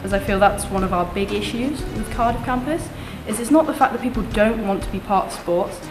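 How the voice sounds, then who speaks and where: A young woman making an earnest, confident campaign pitch as a student election candidate, restating her points in lists. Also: brisk. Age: young adult. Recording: echoey room with music behind.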